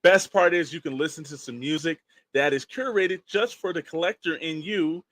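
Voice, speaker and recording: A man's voice: a little high, normal speed, a bit bright, no echo. A man co-hosting a podcast, chatting casually to listeners and promoting the show. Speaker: podcast co-host.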